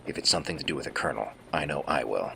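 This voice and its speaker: An actor playing a gruff, battle-hardened soldier in a radio drama, with a gravelly voice and a curt, dry manner. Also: male voice, a bass voice, lively intonation, rapid-fire, pushed and bright, slight background noise, exaggerated voice. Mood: gruff resolve.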